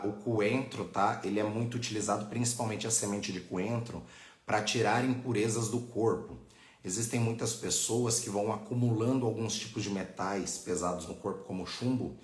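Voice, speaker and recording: Low-voiced Brazilian man teaching, roomy echo. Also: fairly even intonation, normal speed. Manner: didactic, frequent checking tags. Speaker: religious teacher hosting a live online class. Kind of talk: informal live-stream teaching, answering viewers' questions. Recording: echoey, roomy sound.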